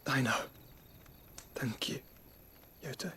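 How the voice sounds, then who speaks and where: Slow, solemn young nobleman. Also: mid-range voice, sharp tone, slight background noise. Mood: subdued. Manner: measured, solemn, eloquent delivery. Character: weary, dignified young nobleman, gravely reflective and ailing. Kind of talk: dramatic video game cutscene acting.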